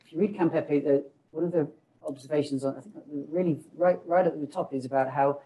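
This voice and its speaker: A man's voice: a little high, steady tone, dark tone, slight background noise, rough recording. A middle-aged man on a panel who speaks with many repeated words and restarts, recorded on a lapel mic in an indoor room. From not South Africa. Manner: fast, stumbling with many repetitions. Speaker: business school panelist. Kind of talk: panel discussion.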